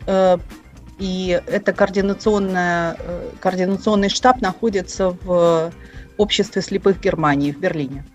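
A woman guest in an interview, speaking quietly and hesitantly with many fillers. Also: on the low side, fairly even intonation, normal speed, faint music behind. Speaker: guest, refugee aid coordinator. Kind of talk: interview answers.

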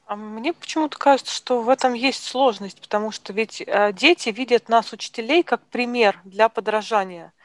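A woman host in a discussion who talks hesitantly, with many pauses and fillers. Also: on the high side, no room sound. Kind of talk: conversational discussion. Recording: dry close sound.

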